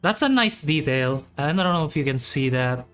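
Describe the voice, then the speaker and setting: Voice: medium pitch, lively intonation, normal speed, a bit bright. A game streamer giving casual commentary in short, informal, musing remarks. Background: faint game music.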